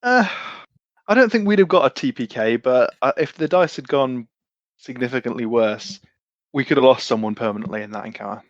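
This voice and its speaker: Male podcast player, mid-pitched, halting casual chat, dry and slightly muffled recording. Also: lively intonation, no echo. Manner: halting, repeats words, sweary. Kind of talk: casual post-game chat.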